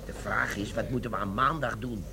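A man's voice: fairly low pitch, fairly quick, vocal fry, a crowd in the background. A male actor in a Dutch comic radio play, playing a cheeky, glib, scheming army sergeant.

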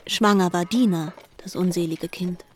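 A woman's voice: fairly low pitch, moderate pace. A woman delivering scripted literary narration in a formal, composed way.

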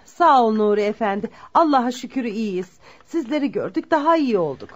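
A widowed mother on a bride-asking visit in a radio drama. Her voice is fairly high and a little rough, with ceremonious politeness. The recording is muffled and lo-fi. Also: very animated, brisk. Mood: courteous, ceremonious. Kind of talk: Turkish radio theater acting.

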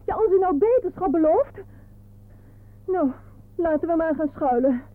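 A coy, fretful spinster with a high, very animated voice. She speaks in theatrical radio-play style, flustered and indignant, on a narrow, tinny old recording. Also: normal speed, no echo. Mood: hesitant. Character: coy, gullible, fretful office spinster on an outing.

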